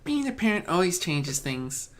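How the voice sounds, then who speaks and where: Timid young female pony played by a man: high-pitched, gentle, hesitant and polite, for a fantasy audiobook. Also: big pitch swings, moderate pace. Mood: shy, gentle. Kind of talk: fan fiction audiobook character reading.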